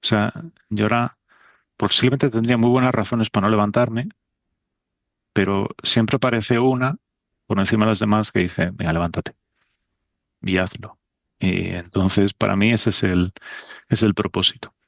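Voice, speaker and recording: A man's voice: fairly low pitch, steady tone, moderate pace, creaky, long pauses, no room sound. A middle-aged man from Spain, a podcast guest talking in a thoughtful, self-deprecating way. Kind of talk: casual conversation.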